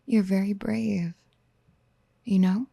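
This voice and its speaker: Woman playing a clingy motherly type for asmr roleplay, soft breathy half whispers, slow, close dry sound, soothing and doting. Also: mid-range voice, steady tone, dry recording, heavily acted. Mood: gentle encouragement. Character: doting, possessive motherly woman comforting someone younger.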